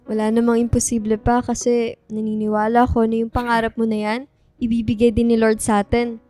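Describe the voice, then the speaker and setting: A woman's voice: fairly high pitch, completely flat delivery, a little fast, warm tone, dry recording, faint music behind, close to an everyday voice. A woman playing a sweet, playful young student daughter in a radio drama, affectionate, teasing and encouraging.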